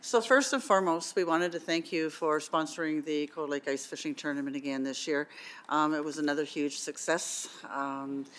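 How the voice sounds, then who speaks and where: A woman delegate presenting to a council on behalf of a seniors' support group, earnest and filling pauses with "um", partly reading from notes, on a desk microphone in a council chamber. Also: deep voice. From Canada. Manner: earnest, many "um" fillers, partly reading aloud.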